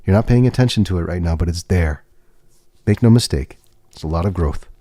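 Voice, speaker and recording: A man livestreaming a tarot reading, talking in a gentle, reassuring way with pauses and restarts. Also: deep voice, dry recording. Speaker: tarot reader and podcast host. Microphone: webcam setup.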